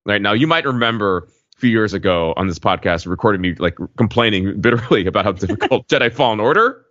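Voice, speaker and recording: A man with a very deep voice talks casually as a podcast co-host. He explains in a wordy, hesitant way, wandering into asides. The sound is slightly dull. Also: expressive, fast talker, a bit bright. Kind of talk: casual podcast chat, explaining video game slang. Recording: muffled audio in an echoey room.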